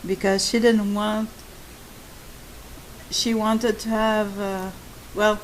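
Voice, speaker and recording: A woman with a soft voice and a non-native English accent, hosting a spoken monologue for her subscribers, talking in a halting way with many fillers and restarts. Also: mid-range voice, flat delivery, a little hiss or hum, poor audio. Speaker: channel host.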